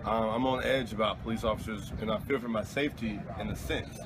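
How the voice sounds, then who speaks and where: Halting, earnest man of thirty outdoors. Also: lowish voice, steady tone. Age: 30s. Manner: earnest, halting, many restarts. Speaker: interviewee. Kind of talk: street interview. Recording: outdoor traffic noise.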